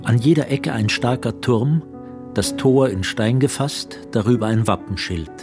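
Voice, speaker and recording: A man reading scripted literary narration for a radio play, measured and articulate. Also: mid-range voice, over music.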